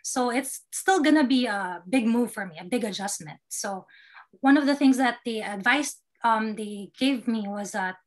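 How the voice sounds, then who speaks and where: A young woman with a Filipino accent speaks as a guest in a casual interview. Her voice sits a little high, and she feels her way through sentences with hesitant phrasing. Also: moderate pace. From Manila, Philippines. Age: young adult. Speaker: interview guest, newly matched doctor.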